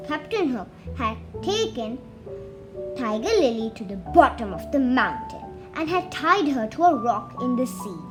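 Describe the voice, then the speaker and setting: High, lively child-like storytelling voice, slow. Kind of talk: read-aloud children's bedtime story narration.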